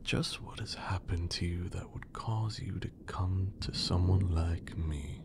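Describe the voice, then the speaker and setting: A deep-voiced, imperious vampire lord, acted out by a man for an ASMR roleplay. He drawls theatrically, teasing and threatening in equal measure. The voice often slips into a breathy whisper and crackles with vocal fry. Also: unhurried. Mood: intrigued. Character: haughty, menacing, teasing male vampire lord. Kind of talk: ASMR roleplay, scripted character acting.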